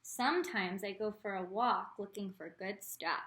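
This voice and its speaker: A woman reading a picture book aloud to children as an art teacher, expressive, with teaching asides spoken directly to the young listeners. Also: mid-range voice, normal speed.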